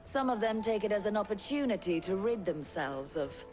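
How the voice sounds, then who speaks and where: An actress playing a brisk, frank female fishmonger in a fantasy video game, speaking plainly and colloquially. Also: mid-range voice, lively intonation, over music, natural voice. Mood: frank, resigned. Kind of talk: dramatic video game acting.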